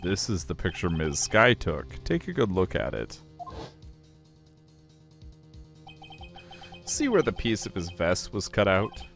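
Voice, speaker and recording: A man's voice: deep voice, sing-song, normal speed, vocal fry, a bit bright, faint music behind, exaggerated voice. A man voicing an earnest, determined young defense lawyer in a courtroom game, firm and accusing. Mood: confident.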